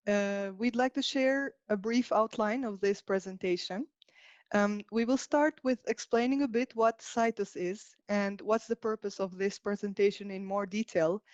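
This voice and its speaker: A woman's voice: medium pitch, darkish tone, dry recording. Woman presenting, slightly dull dry recording. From Albania. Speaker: software engineer presenting at a conference. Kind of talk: prepared technical presentation.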